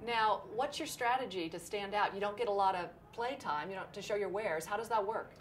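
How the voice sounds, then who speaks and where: A woman's voice: medium pitch, fairly quick, a little hiss or hum. A woman hosting a TV interview, asking upbeat questions. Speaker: TV interview host.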